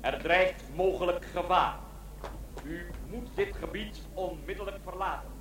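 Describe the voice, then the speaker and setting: An actor playing an insistent, official police announcer in a Dutch radio play, sounding like a public address. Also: male voice, high voice, measured pace. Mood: official, urgent. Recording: echoey public address amid crowd noise.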